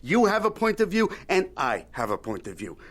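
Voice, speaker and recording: An actor playing a shrewd, folksy old media mogul in an audio drama, haggling in a wry, needling, Yiddish-peppered way. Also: male voice, high voice, huge pitch swings, moderate pace, exaggerated voice. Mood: wry, unbudging. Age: older.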